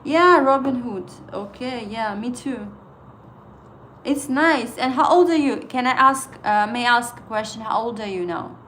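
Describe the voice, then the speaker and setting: Slow-talking Estonian-accented woman streamer. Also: a little high, expressive, a bit bright, a little hiss or hum. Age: 30s. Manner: chatty, asks viewers questions, halting non-native English with fillers. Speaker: livestream trading host. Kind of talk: casual livestream chat with viewers.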